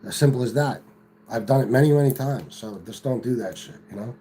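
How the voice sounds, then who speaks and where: A man's voice: medium pitch, fairly quick, mellow, slight background noise. A man hosting a live stream and answering chat comments in a combative, profane, sarcastic way, from home. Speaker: livestream host reading chat.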